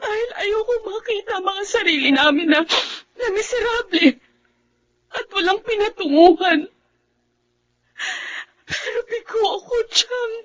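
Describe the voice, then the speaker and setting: A woman's voice: extremely high pitch, expressive, a little slow, pauses often. An actress playing a desperate, tearful, intense woman in a Tagalog radio melodrama. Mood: bitter anguish. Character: desperate, emotional woman in anguished family drama.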